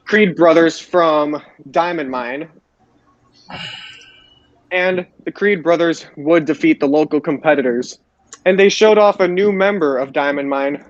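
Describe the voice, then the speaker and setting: A man with a slightly high voice hosts a casual sports recap. He talks haltingly and repeats his phrases. The sound is dull and lo-fi, like an online voice call. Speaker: podcast host. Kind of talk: casual wrestling show recap. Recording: roomy, dull lo-fi voice chat.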